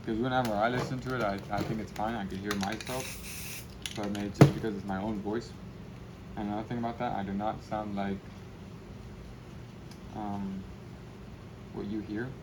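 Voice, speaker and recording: Low-voiced young man chatting while painting. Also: little pitch movement, normal speed, pauses often, heavy background noise. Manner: rambling, low-key, self-deprecating. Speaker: spray paint artist. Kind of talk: casual commentary while painting.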